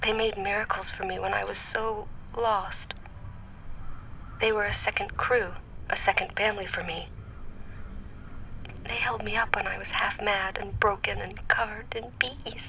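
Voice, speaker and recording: An actress in a radio drama playing a traumatized machine intelligence who was once a child soldier, speaking haltingly and slipping into whispers. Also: female voice, mid-range voice, steady tone, vocal fry, sharp tone, slight background noise, rough recording. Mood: dreamy, wistful. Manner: halting, repetitive, drifting into whispers.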